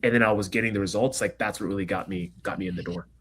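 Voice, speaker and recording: Young man, from Kenya, American accent, low voice, rapid energetic podcast guest. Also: a little hiss or hum. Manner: fast, energetic storytelling with frequent fillers. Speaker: guest entrepreneur. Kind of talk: casual interview chat.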